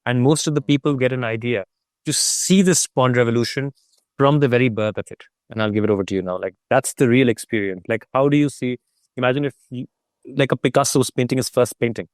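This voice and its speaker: A man's voice: mid-range voice, dry recording, studio quality. A man co-presenting an online talk, explaining informally in an enthusiastic, discursive way over a video call. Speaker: guest co-presenter and trip guide.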